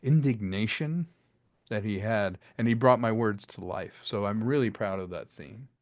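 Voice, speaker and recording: A man's voice: lowish voice, moderate pace, no echo. A man talking casually and enthusiastically as a writer and director being interviewed about his work. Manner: chatty, enthusiastic, acts out bits of dialogue.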